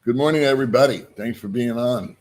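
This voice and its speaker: A man's voice: mid-range voice, fast. A middle-aged man hosting a casual live stream, joking at his own expense and greeting viewers by name.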